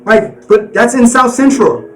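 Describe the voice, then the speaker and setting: A man's voice: extremely high pitch, sing-song, a little slow, distant mic. A man hosting a live stream, chatting casually in slang and repeating "you know what I'm saying.". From Colorado.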